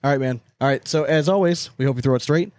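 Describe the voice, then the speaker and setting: A man's voice: medium pitch, fast talker, darkish tone, dry recording. A man co-hosting a casual sports podcast, chatting in an informal, jokey, slangy way. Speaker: podcast co-host.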